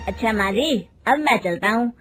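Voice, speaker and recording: A woman voicing a deferential, smooth-talking male factory manager in a moral story. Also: fairly high pitch, expressive, normal speed, a bit bright, soft background music. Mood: ingratiating.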